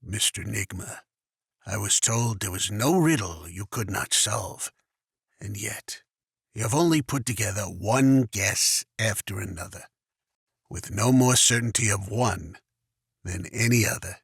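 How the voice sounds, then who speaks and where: An actor playing a menacing, ageing crime boss with a hoarse rasp, slow and deliberate, in a scripted motion comic. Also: male voice, lowish voice, dry recording, clean studio sound, full character voice. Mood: quiet menace. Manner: slow, deliberate, quietly threatening.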